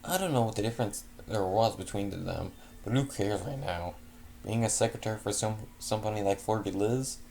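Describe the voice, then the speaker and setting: Gravelly-voiced man reading fanfiction. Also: on the low side, darkish tone. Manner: halting, restarts words often. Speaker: fanfiction reader. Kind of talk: reading a story aloud with a casual outro.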